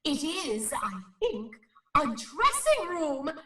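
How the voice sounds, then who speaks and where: An actress playing an elderly, sickly paranormal investigator giving formal, halting reports in a radio drama. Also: female voice, sits high, big pitch swings, a bit bright, exaggerated voice. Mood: solemn, deliberate. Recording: roomy, distant, faintly noisy pickup.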